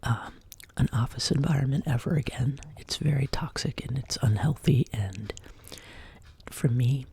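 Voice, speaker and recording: A woman hosting a soft-spoken ASMR chat, sick and sounding lower than usual, drifting between quiet speech and whispers in her home studio. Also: moderate pace, breathy edge. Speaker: ASMR channel host. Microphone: binaural desk microphone.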